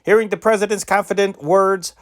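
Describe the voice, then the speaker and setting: Man reading old news stories, a bit high. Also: fairly even intonation. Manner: measured reading with self-corrected slips. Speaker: newspaper reader.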